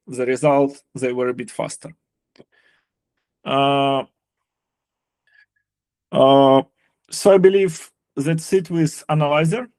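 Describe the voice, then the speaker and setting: A man presenting a live software walkthrough, halting and often repeating words as he explains. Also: mid-range voice, gravelly, no echo. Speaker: software presenter and sailing analyst.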